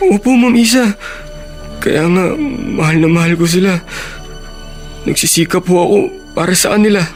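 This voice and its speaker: A man's voice: high voice, moderate pace, close to an everyday voice. An actor playing a humble, grateful young working man, deferential and earnest, in a Filipino radio drama. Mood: earnest, devoted. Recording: radio drama with music underneath.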